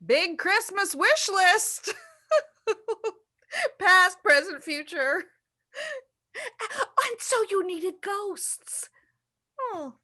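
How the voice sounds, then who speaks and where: A woman's voice: very high voice, expressive, sharp tone, full character voice. An actress playing a dramatic, teasing, fretful ghost of a mother in a theatrical play reading, drawing out her words. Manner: declaiming, teasing, drawn-out.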